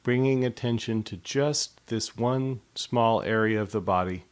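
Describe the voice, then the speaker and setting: A man leading a guided body scan meditation in a slow, soft, unhurried way. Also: medium pitch.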